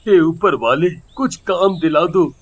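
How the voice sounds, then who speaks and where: Guy doing a poor worried dad, really high voice for a man, slow n humble, audio story. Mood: worried, sad. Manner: slow, pleading, sorrowful. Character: poor, humble, anxious widowed father seeking work. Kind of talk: dramatised Hindi audio story.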